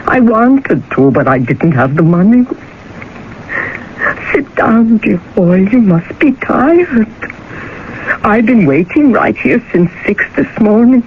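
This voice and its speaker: An anxious, doting middle-aged mother in a radio drama. She speaks slowly and plaintively, with lively swings of pitch. The vintage recording is very muffled. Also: a little hiss or hum. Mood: worried, tender. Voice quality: plaintive, fretful delivery. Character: anxious, doting, sorrowful mother of a grown son.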